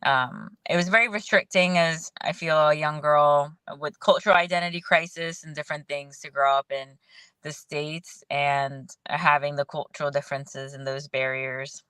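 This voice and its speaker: She is a woman whose first language is Hindi. Her voice has a bright, sharp ring. She speaks in a reflective, candid way and laughs often. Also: low-pitched, steady tone, normal speed, clean tone, no echo. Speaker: guest. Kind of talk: casual video-call conversation. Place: outdoors in the rain.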